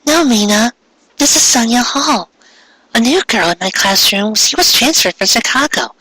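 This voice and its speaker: A man voicing a polite, earnest schoolgirl in an eager, dainty falsetto for a story reading. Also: expressive, moderate pace, pushed and bright, heavily acted, rough recording.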